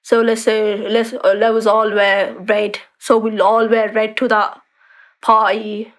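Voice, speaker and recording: A woman's voice: mid-range voice, flat delivery, measured pace. A young woman guest, talking casually in an interview with lots of fillers and restarts. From born in a refugee camp in Bangladesh, raised in the UK. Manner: chatty, many likes and restarts.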